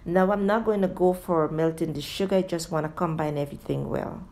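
A woman's voice: lowish voice, brisk, darkish tone. A woman giving a step-by-step cooking tutorial as a voice-over, addressing viewers directly as a home cooking host.

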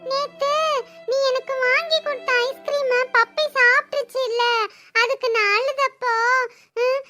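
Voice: very high voice, steady tone, unhurried, over music. An actor voicing an innocent, chatty little boy in a cartoon, earnestly tattling. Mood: innocent, protesting. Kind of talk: Tamil cartoon voice-over acting.

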